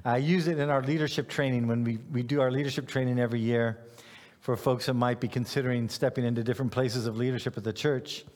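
A man's voice: medium pitch, steady tone, fast talker, a little mellow. A middle-aged man from Ghana, a pastor giving an informal, conversational sermon in an anecdotal, self-deprecating way. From Ghana, West Africa. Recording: long hall reverb.

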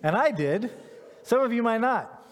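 A man's voice: very high-pitched, lively intonation, unhurried. A middle-aged man from Ghana, a pastor giving an informal, conversational sermon in an anecdotal, self-deprecating way. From Ghana, West Africa. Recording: long hall reverb.

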